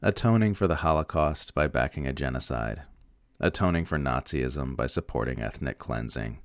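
A man's voice: extremely low pitch, expressive, normal speed, creaky, no echo, clean studio sound. A man reading a scripted political opinion essay aloud in an emphatic, sardonic way. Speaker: narrator reading political commentary.

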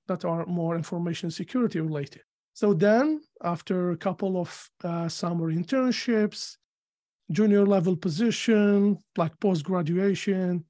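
Algerian-accented man, mellow voice. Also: sits high. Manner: measured and thoughtful, with many fillers. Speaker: guest. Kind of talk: casual interview.

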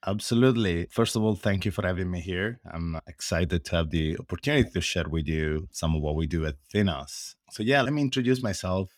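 A man's voice: low voice, a little fast, few pauses, no echo, studio quality. A man with an Italian accent, a podcast guest explaining his work at length in an earnest way, with frequent hesitations and fillers. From Italy. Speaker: guest, open source foundation director. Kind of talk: podcast interview answers.

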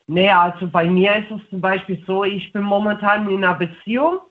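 Phone-in caller, male, twenties, notably high voice, conversational, thin telephone sound. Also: fairly quick. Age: 20s. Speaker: radio show caller. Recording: narrow, tinny phone line.

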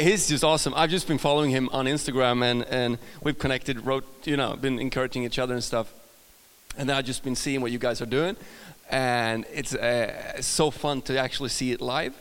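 A man's voice: mid-range voice, a bit bright. A man from Sweden with a mixed Swedish accent, preaching as a guest in a church, chatty and self-deprecating with many restarts. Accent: Swedish, mixed with British and American. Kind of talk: sermon. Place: large church hall. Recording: long hall reverb.

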